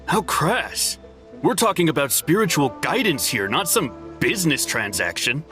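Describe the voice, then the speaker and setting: A man's voice: a little high, sing-song, unhurried, bright, forceful tone, soft background music, heavily acted. An actor playing a haughty, easily offended male client in a game who praises his counselor. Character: devoted male client, snobbish and touchy. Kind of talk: game voice acting.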